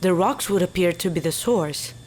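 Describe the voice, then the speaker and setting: An actress playing a composed, inquisitive research scientist in an audio drama, precise and clinical. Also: female voice, fairly low pitch, normal speed, darkish tone, slight background noise, lightly acted. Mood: intrigued. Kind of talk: naturalistic audio drama acting.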